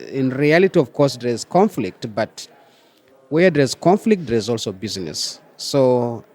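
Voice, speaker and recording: A man's voice: mid-range voice, darkish tone. A man from South Sudan with a South Sudanese accent, explaining things as an interview guest. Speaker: interview guest, microfinance chief executive.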